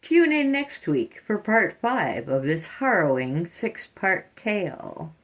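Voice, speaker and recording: A woman's voice: medium pitch, sing-song, slow pace. A woman hosting a horror fiction podcast with theatrical scripted delivery.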